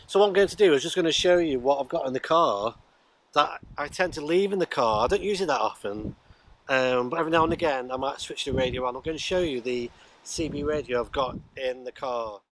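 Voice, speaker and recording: A man's voice: medium pitch, a little fast, fairly bright tone, low-quality recording. A middle-aged man talking casually and a little ramblingly in an informal vlog, recorded on location with some wind noise. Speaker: amateur radio vlogger. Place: windy high ground, in and around a parked car. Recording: windy outdoor noise, clicks.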